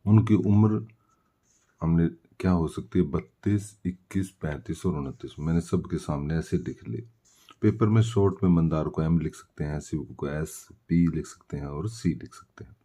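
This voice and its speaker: Very deep-voiced man teaching. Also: normal speed, warm tone. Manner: explanatory, poses questions and answers them himself. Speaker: maths teacher. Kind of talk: step-by-step worked-solution lesson.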